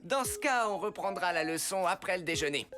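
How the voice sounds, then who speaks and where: An actor voicing a kindly, excitable male teacher of young superheroes in a French cartoon dub, encouraging and instructive. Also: mid-range voice, brisk, music in the background, close to an everyday voice.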